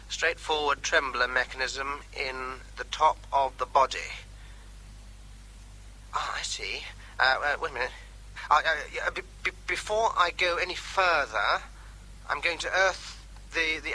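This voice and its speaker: An army captain at work on a bomb in a radio play. His voice is very sharp and bright as he reports each careful step haltingly, with dry wit. The recording has slight noise. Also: medium pitch, rough recording. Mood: careful, methodical. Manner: halting, thinking aloud, wry. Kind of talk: radio drama, running report by field telephone.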